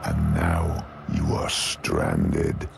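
A man playing a menacing, domineering dark tormentor in a video game, gravelly and cavernous, slowly taunting and belittling. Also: very deep voice, monotone, whispered, soft background music, full character voice. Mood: taunting, cold. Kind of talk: dramatic video game acting.

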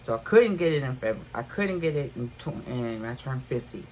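A woman's voice: extremely low pitch, lively intonation, fairly quick, warm tone, slight background noise, poor audio. A woman in her fifties chatting casually to viewers from her bedroom about items she bought, calling them y'all. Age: 50s. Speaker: haul vlogger. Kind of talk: casual haul chat. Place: bedroom at home.

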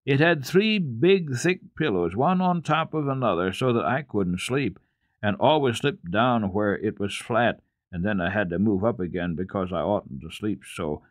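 A man's voice: lowish voice, expressive, moderate pace, no echo. A man voicing an eager, anxious, tender-hearted little girl with an earnest, questioning manner in a storybook reading.

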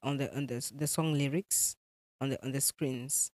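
A woman's voice: extremely low pitch, moderate pace, no echo. A young Kenyan woman with a Kenyan accent, a gospel musician and native Swahili speaker, talking animatedly as an interview guest. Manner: animated, mixing in Swahili phrases. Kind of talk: casual interview.

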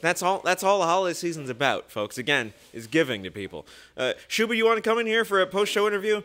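Young man, high pitch, joking presenter style, live show hosting. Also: very animated, fast talker, fairly bright tone. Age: young adult. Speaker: show host. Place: small studio, indoors. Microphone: stand microphone.

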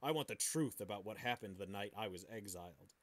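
A man's voice: fairly low pitch, animated, a little fast, fairly bright tone. A man co-hosting a podcast, bantering casually and reading aloud. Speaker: podcast host.

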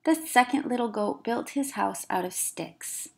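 A woman with a fairly high voice narrates a children's picture book. She reads like a teacher, expressively, placing careful emphasis on words for young listeners. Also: measured pace, vocal fry. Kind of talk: children's read-aloud narration.

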